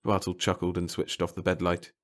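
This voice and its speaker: A man's voice: low voice, monotone, a little fast. A man reading a short story aloud as scripted audiobook narration.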